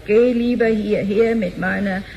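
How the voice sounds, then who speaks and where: A woman's voice: mid-range voice, fairly even intonation, unhurried, a little mellow, muffled recording, a little hiss or hum, lightly acted. A woman playing a chatty, old-fashioned elderly lady who rambles genially in a sci-fi radio play.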